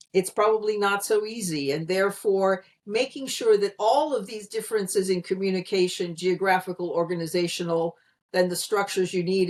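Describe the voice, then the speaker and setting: American woman explaining on a video call. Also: medium pitch. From Pennsylvania, USA. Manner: articulate, explanatory, light laughs. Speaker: guest, communication consultant. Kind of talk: conversational interview answer.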